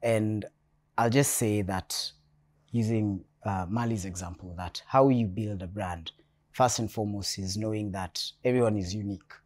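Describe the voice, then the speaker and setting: A Kenyan man from Nairobi speaking as a guest on a panel, measured and reflective, often restarting his sentences. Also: lowish voice, darkish tone. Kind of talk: panel discussion. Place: hotel event space.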